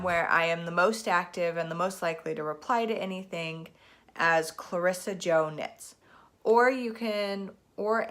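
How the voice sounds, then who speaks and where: A woman's voice: mid-range voice, fairly bright tone. Stuffy-nosed woman chatting about knitting. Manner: chatty, rambling, many ums. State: stuffed up with a cold, sniffling. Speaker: podcast host. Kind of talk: casual knitting podcast chat. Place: at home, seated in a spinning chair in front of a camera. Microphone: camera mic.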